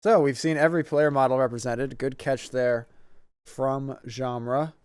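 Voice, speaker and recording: A man casting a live online game tournament in a casual, rambling way, with many fillers and restarts, his voice a little hoarse from long talking. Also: medium pitch, steady tone, measured pace, darkish tone, dry recording, studio quality. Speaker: tournament caster. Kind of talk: live esports commentary on a stream. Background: game music and gunfire sounds.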